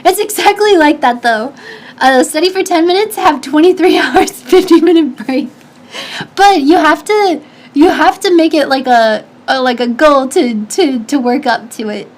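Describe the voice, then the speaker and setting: High-pitched, slightly nasal woman streamer. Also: normal speed. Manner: chatty, advice-giving, lots of restarts and fillers. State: stuffy nose. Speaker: streamer answering chat. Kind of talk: casual chat.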